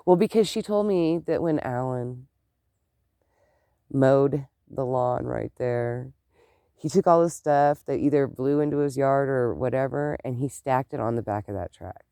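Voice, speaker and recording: Slow, stuffed-up chatty vlogger. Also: medium pitch, mellow, no echo, clean studio sound. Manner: chatty, meandering, exclaims often. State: congested, stuffed-up nose and clogged ears. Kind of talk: casual rambling chat to camera.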